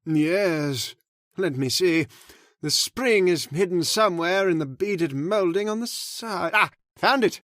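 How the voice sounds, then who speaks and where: A man playing a solemn caretaker who slowly tells a dark family legend in an old-time radio drama. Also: high-pitched, expressive, no echo, studio quality, exaggerated voice. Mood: grave. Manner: slow, ominous, measured delivery. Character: grave, solemn male storyteller of the house. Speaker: Mister Roberts, old manor caretaker telling the legend.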